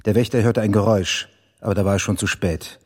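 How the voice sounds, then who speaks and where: Ceremonious, wordy civic scribe, played by a man, radio drama; lowish voice, long hall reverb. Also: fairly even intonation, quick pace, close to an everyday voice. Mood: grave. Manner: formal, ceremonious, long-winded. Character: formal, long-winded male town scribe and messenger. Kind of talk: German radio drama acting.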